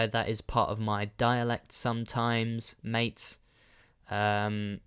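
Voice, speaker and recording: A man's voice: lowish voice, a bit bright, clear, resonant tone. A young man from London with a southern British London accent, hosting a casual solo podcast in a rambling, self-correcting, chatty way. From London, England. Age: young adult. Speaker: podcast host.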